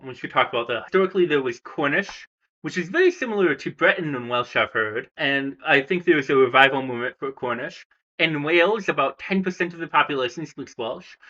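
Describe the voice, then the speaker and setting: A man's voice: on the high side, bright, forceful tone, muffled recording. A man from the United States talking casually about languages and countries, hesitant and full of fillers and restarts. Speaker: language vlogger. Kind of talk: casual rambling commentary.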